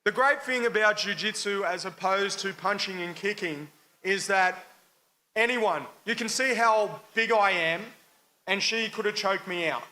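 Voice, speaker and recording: High, bright, raspy Australian man. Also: unhurried. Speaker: jiu-jitsu demonstrator. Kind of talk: live demonstration explained to an audience. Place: live function room at a club.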